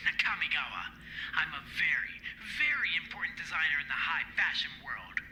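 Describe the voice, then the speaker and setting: Man playing a haughty, sharp-voiced fashion designer. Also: fairly high pitch, big pitch swings, brisk, exaggerated voice, poor audio. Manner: pompous, snippy, dismissive. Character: haughty, vain male fashion designer held captive, indignant. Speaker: voice actor in a fan audio drama. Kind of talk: audio drama acting. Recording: faint background noise.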